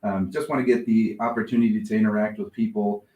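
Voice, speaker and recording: Lower-voiced man in an echoey room. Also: steady tone, fast talker, dark tone. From born in Mexico, raised in Nebraska, USA. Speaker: interview guest, middle school principal. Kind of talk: conversational personal storytelling. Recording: roomy, echoing video call sound.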